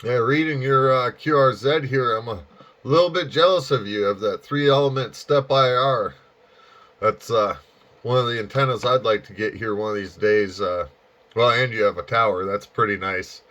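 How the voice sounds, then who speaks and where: A man making casual amateur radio small talk in a halting, folksy way, with a dull, muffled sound. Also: medium pitch, a bit bright. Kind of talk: casual radio contact chat.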